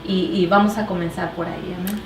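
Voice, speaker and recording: A woman's voice: on the low side, fairly even intonation, fairly quick, reverberant, far from the mic, noisy background. Woman hosting a faith talk show.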